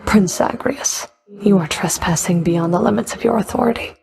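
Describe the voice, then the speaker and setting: A woman's voice: medium pitch, normal speed, creaky, darkish tone, natural voice. A woman playing a stern, sardonic underworld Fury in a video game, cool, taunting, curt and commanding. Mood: stern, challenging. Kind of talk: video game character voice lines.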